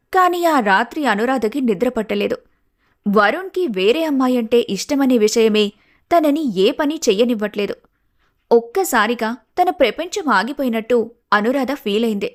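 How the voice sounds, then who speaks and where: Slightly high-pitched woman narrating a story.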